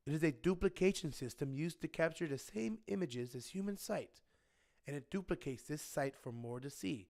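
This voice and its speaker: A man's voice: a little high, expressive, moderate pace, creaky, a little mellow, no echo, studio quality. An actor playing a knowing inventor and mentor in a radio drama, patient and assured as he instructs. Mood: explaining. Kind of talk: scripted radio drama dialogue.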